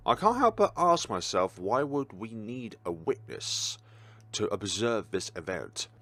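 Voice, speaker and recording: Lively man reading game logs aloud. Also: fairly low pitch. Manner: dramatic reading broken by wry comments and self-corrections. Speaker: gaming video creator.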